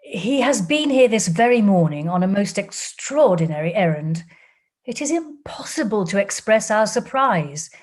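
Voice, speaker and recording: A woman reading an audiobook, voicing an anxious, gentle older woman who breaks startling news in a loud, trembling voice. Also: medium pitch, expressive, normal speed, natural voice. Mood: urgent.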